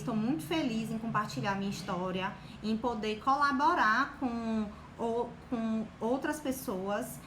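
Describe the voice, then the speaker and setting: A young woman from Bahia with a Bahian Portuguese accent, giving an encouraging casual talk to her live-stream viewers and filling her sentences with little check-ins. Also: on the high side. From Bahia, Brazil. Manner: warm, encouraging, full of fillers like "né" and "tá". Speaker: guest on a live stream about exam preparation. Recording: echoey room with faint background noise.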